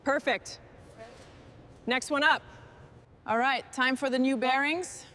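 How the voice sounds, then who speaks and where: A woman's voice: sits high, fairly even intonation, slow pace, pushed and bright, a little hiss or hum. A woman working as a mechanic, giving short hands-on commentary on her work as she goes. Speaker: mechanic narrating restoration work.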